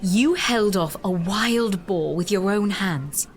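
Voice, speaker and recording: A woman's voice: medium pitch, slow, natural voice. An actress playing a gracious, assured queen in an audio drama, speaking in a courtly, solicitous way. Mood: reassuring. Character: gracious, assured queen, tender toward a young knight.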